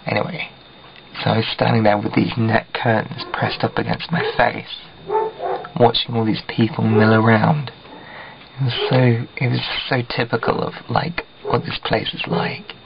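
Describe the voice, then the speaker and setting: A young British man with a croaky voice, chatting casually to camera at home, rambling and often dropping into a whisper. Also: fairly low pitch, flat delivery, unhurried, long pauses. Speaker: vlogger. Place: at home by a window. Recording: dull lo-fi home video with faint hiss.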